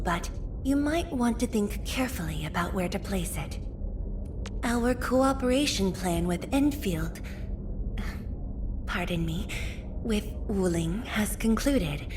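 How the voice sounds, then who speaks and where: A woman acts out a gentle scholarly viceroy for a video game, a woman of medium pitch who speaks slowly and formally. She is polite, measured and quietly reflective, with clear ambient noise behind her. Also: natural voice. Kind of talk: scripted video game character voice lines.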